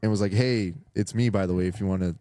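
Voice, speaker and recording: A man's voice: low voice, steady tone, fast talker, dark tone. A man in his early twenties, a guest telling stories in a casual chat, self-deprecating and swearing freely. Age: 20s.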